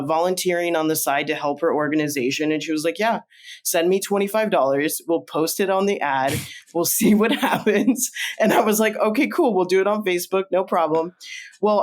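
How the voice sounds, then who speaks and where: Higher-voiced man from Colombia, storytelling. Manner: storytelling, heavy use of "like", quotes own past thoughts. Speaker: podcast guest. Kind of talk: casual interview conversation.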